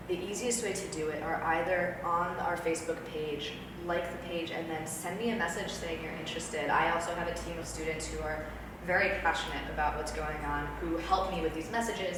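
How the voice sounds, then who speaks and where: A woman guest answering interview questions about her project in an earnest, reflective manner, recorded from a distance in a room. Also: low-pitched. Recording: echoey room from far off, noisy.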